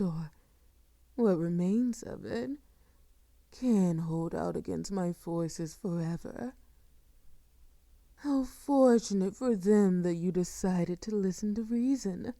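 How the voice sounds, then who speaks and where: A woman playing a sadistic, seductive vampire in an intimate audio roleplay, speaking softly and formally with a silky, teasing menace that often sinks toward a whisper. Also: medium pitch, no echo, studio quality. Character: sadistic, seductive female vampire, courtly and predatory. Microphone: close microphone, dry.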